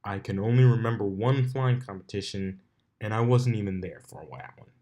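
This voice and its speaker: A man voicing a sarcastic, put-upon male pegasus stallion with a deadpan delivery in a story reading. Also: fairly low pitch, moderate pace, close to an everyday voice.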